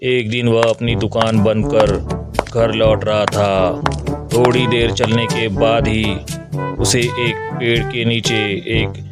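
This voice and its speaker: A man's voice: lowish voice, level tone, slow pace. A man narrating a scripted story as its narrator. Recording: loud background music.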